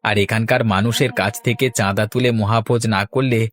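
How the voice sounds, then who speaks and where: A man voicing an excitable cartoon village fellow. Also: on the low side, brisk, lightly acted. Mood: dejected. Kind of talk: Bengali cartoon voice acting.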